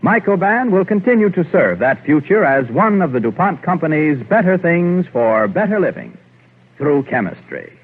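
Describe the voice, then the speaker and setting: An American man as a 1940s radio announcer, reading a sponsor's script in a formal, measured delivery. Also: a little high, very animated. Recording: narrow, muffled 1940s radio recording.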